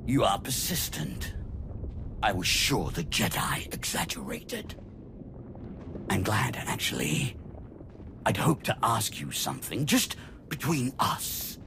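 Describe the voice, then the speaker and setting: A man playing an arrogant dark tyrant in a video game, theatrical and contemptuous, with a deep gravelly voice. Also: wildly swooping pitch, takes it slowly, pauses often, heavily acted. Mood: amused. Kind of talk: dramatic video game acting. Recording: clear noise behind the voice.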